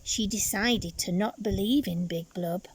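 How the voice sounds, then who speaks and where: A woman reading a picture book expressively to young children, with dramatic pauses and asides to her listeners. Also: mid-range voice, slightly rough, poor audio.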